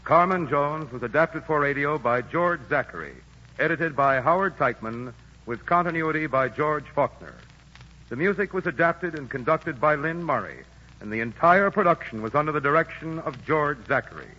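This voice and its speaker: Formal, bright 1940s radio announcer. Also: male voice, on the high side, lively intonation. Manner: formal, measured. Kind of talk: reading credits and sponsor message. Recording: dull lo-fi 1940s radio broadcast with music.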